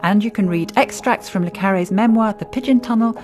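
A woman's voice: medium pitch, fairly quick, darkish tone. A woman reading a scripted podcast introduction as host. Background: music.

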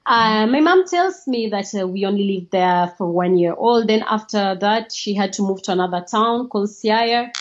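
A woman's voice: medium pitch, expressive, moderate pace, hardly any pauses, highs cut off. A woman from Kenya, a guest on a podcast, talking casually with laughs and many uhs. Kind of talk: casual interview.

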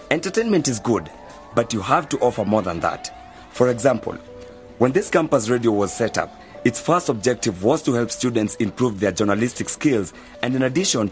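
An actor plays a confident student journalist in a radio drama. His medium-pitched voice is businesslike and advising, with light music underneath. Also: close to an everyday voice. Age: young adult.

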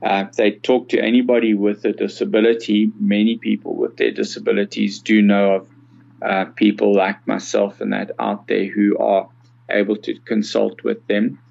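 A South African man in his sixties giving measured, explanatory answers in a conversational interview. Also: lowish voice, little pitch movement, creaky, darkish tone, dull audio. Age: 60s. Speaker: interview guest, accessibility consultant.

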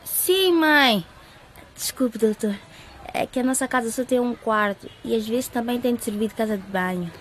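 A woman's voice: on the high side, moderate pace, a little hiss or hum, lightly acted. An actress playing an earnest teenage girl in a radio drama, apologetic with her mother but firm with her friend. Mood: polite. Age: teens. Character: Maria, earnest pregnant teen girl.

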